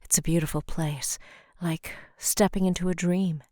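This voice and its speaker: A woman reading scripted audio drama narration in a deliberate, somber storytelling manner. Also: lowish voice, fairly even intonation, dark tone, no echo, clean studio sound.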